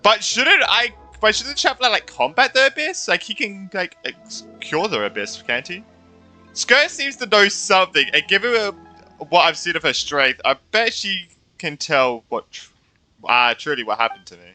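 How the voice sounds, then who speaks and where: A man giving casual playthrough commentary, excitable and reactive, sometimes reading game lines aloud. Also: high-pitched, moderate pace, faint music behind. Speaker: gaming streamer.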